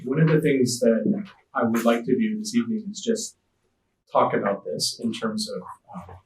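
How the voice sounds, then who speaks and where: A soft-spoken man guides a committee meeting in a calm, measured way. His voice sits in a middle register and is picked up from across an echoey room, with faint noise behind it. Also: mid-range voice, distant mic. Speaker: meeting facilitator. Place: school district meeting room.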